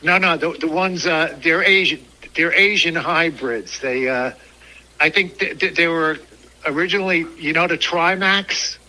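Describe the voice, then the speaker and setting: An older American man calling in for a casual phone chat, halting as he searches for words. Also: on the high side, pushed and bright, poor audio. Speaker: caller, customer.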